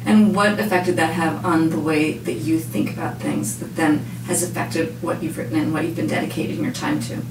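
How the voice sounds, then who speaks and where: Woman, interviewer, lower voice, probing questions, roomy hissy recording. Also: steady tone, fairly quick, few pauses. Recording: echoey room, distant mic, noisy.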